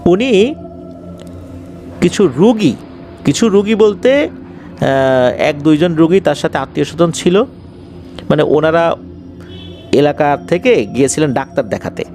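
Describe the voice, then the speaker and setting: A male storyteller with a fairly high voice tells ghost accounts in a conversational but dramatic style. His pitch swings widely as he deliberately builds suspense. Soft music plays behind him. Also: lively intonation. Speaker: horror story narrator, show host.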